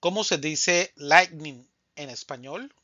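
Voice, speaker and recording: Slow, deliberate male Spanish teacher. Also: a little high, pushed and bright, no room sound, highs cut off. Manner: slow, deliberate, says each answer twice. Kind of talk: scripted vocabulary drill.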